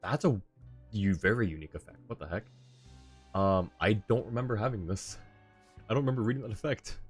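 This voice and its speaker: Male streamer, low-pitched, casual and thinking aloud during live gameplay, faint game music behind. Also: brisk, slightly rough. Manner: thinks aloud, reading on-screen text and chat. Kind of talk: casual live gaming commentary. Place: indoor live stream setup.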